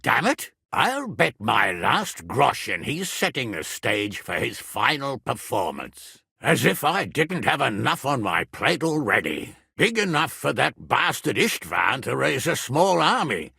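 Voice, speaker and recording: An actor playing a blustering, irascible middle-aged medieval nobleman in a video game, with a gravelly, raspy voice and a gruff, commanding manner. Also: medium pitch, wildly swooping pitch, unhurried, hardly any pauses, sharp tone, no room sound, studio quality, heavily acted. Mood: stern, exasperated. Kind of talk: dramatic video game character dialogue.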